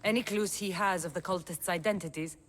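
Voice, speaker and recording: A woman's voice: on the low side, brisk, faint music behind, lightly acted. An actress playing a bold, blunt female mercenary in a video game, speaking firmly and with command. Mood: resolute. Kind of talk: video game cutscene acting.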